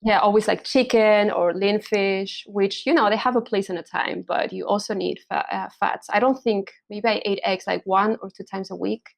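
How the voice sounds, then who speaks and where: A young woman from Spain speaks as a guest in a relaxed interview, her voice at a middle pitch with a gravelly, creaky texture. She hesitates often, filling gaps with ums and likes and restarting her sentences. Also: normal speed, hardly any pauses. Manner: hesitant, many fillers and restarts, laughs often. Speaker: hormonal and pregnancy coach, podcast guest. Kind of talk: casual interview chat.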